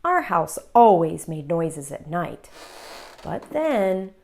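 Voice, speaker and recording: A woman hosting a children's read-aloud, telling the story in an expressive, deliberate way for young listeners. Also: fairly low pitch, creaky.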